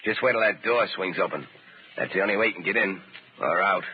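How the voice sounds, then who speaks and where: Man playing a low, menacing American gangster boss. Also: fast talker, natural voice. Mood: bossy. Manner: curt, slangy, barking orders. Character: hard-boiled gangster boss, menacing, bossy and impatient. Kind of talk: 1930s radio acting. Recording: scratchy, noisy, narrow-band 1930s radio.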